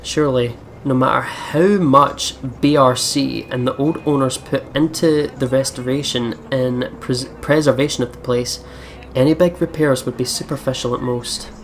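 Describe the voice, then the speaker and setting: Male let's play host: mid-range voice, reads game story aloud and comments, clear background noise. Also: medium pitch, steady tone, moderate pace.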